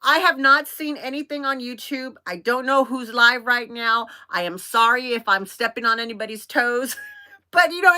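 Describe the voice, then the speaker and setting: A woman in her late fifties with a high, bright voice, hosting a live stream. She chats casually in a bubbly, scattered way, excitable as she talks to her viewers. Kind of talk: casual chat, greeting viewers by name.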